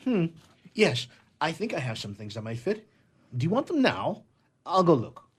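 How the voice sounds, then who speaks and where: An actor playing a bemused, mildly jealous young man in a comic radio play, halting and wry. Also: on the high side, very animated, slightly rough, exaggerated voice. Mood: wry, put out.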